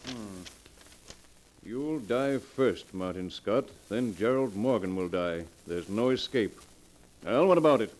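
A man's voice: on the low side, expressive, slow pace, darkish tone, slight background noise, rough recording. A man playing a cold, shrewd company boss with a brusque, formal manner in 1940s radio drama style. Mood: skeptical, haughty.

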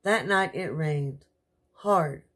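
Middle-aged woman, lowish husky voice, children's read-aloud, measured, expressive. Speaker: storyteller host in Mrs. Claus persona.

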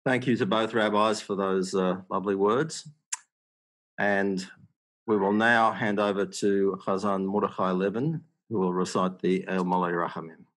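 Very low-voiced older male host. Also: fairly even intonation, normal speed, a bit bright, rough recording. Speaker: host of a memorial event. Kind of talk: event moderation on video call. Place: indoor room, video call.

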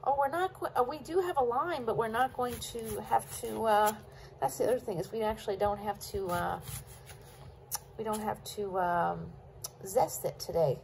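A woman's voice: fairly high pitch, moderate pace, creaky, a little mellow, a little hiss or hum. A woman chatting casually with her viewers while she chops vegetables at her kitchen counter. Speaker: cooking vlogger. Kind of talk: casual cook-along chat.

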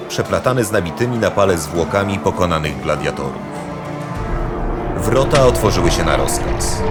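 A man with a low voice narrates a fantasy audio drama. He reads scripted storytelling prose, with very loud music running behind him.

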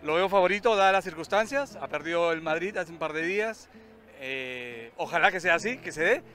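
A man's voice: a little high, animated, a little fast, sharp tone, soft background music. A man, a football fan, answering casually in an outdoor street interview.

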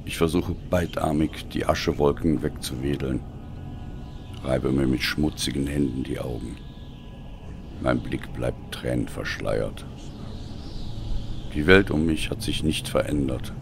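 A man reading a dark audio story as a dramatic narrator, with a brooding, solemn delivery. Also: rumbling low, unhurried, creaky, long pauses, background noise. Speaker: audiobook reader.

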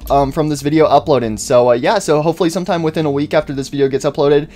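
A man's voice: on the high side, steady tone, rapid-fire, darkish tone. Upbeat rambling young-sounding YouTuber man. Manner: upbeat, rambling with many fillers. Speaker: YouTuber host. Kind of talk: casual game mod review commentary.

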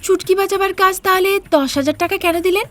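Woman voicing a very high, earnest young daughter-in-law. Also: quick pace, faint music behind. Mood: puzzled, polite. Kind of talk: cartoon fairy-tale character acting.

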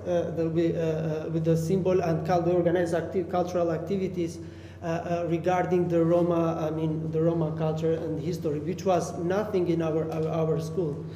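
A man's voice: a little high, dark tone. Hesitant young man, echoey hall. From Albania. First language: Romani. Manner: hesitant, many repeated words and fillers. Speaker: artist panelist. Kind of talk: panel discussion. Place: art gallery room. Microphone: stand mic. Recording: echoey hall, faint noise.

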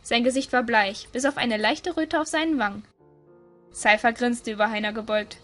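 Higher-voiced woman narrating a story. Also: moderate pace, fairly bright tone, faint music behind.

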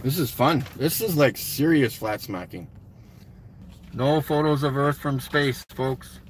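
A man's voice: mid-range voice, measured pace. A middle-aged man with a Canadian accent, making blunt short statements in a casual vlog and calling out slogans to passers-by. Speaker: vlogger and street activist. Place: inside a parked or slow-moving car. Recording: car interior with vehicle noise.

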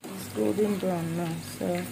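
A woman's voice: fairly low pitch, fairly even intonation, measured pace, vocal fry. A woman chatting casually as she cooks, filmed on a phone held over the pan. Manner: chatty, gives side instructions to helpers. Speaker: home cook. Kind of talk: casual cooking demo. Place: indoor kitchen floor, sizzling pan. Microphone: phone held overhead. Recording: noisy phone recording with frying sizzle.

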